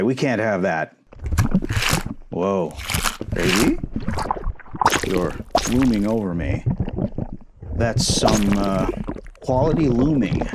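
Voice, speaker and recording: Animated, unhurried playful boyfriend acted by a man. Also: mid-range voice, very noisy. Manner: casual, jokey, with sighs and theatrical exclamations. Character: playful, goofy, affectionate young boyfriend shrunk tiny. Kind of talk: ASMR boyfriend roleplay audio.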